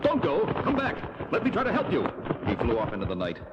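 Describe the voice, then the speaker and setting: An actor playing a steady, heroic masked crime fighter in a 1970s superhero audio drama, earnest and commanding. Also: male voice, a little high, quick pace, very noisy. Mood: urgent. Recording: dull 1970s record, loud music.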